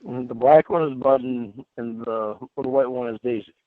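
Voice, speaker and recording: A man's voice: medium pitch, normal speed, dry recording. A man reading a chat exchange aloud and adding his own asides, heard through narrow, tinny playback.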